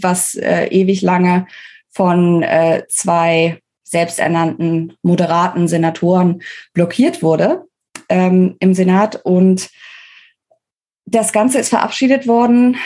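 A woman speaking as an interview guest, giving opinionated, explanatory political analysis in a conversational way, pausing between phrases. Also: lowish voice, darkish tone.

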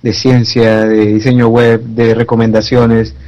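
A man co-hosting a casual group podcast, giving the closing announcement in a measured way. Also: mid-range voice, monotone, dark tone, dull audio.

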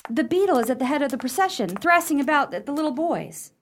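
A woman's voice: high voice, expressive, fast talker, a little hiss or hum. A woman playing a watchful, wry sister in a comic period radio play, declaiming theatrically. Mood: excited lookout.

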